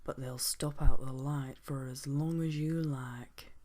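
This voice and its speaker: Kindly lil gnome guy, husky low whisper, mellow, woman doing him. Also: steady tone, takes it slowly, full character voice. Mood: gentle. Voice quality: breathy whisper. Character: kindly little male woodland gnome, cheerful. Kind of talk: bedtime story reading.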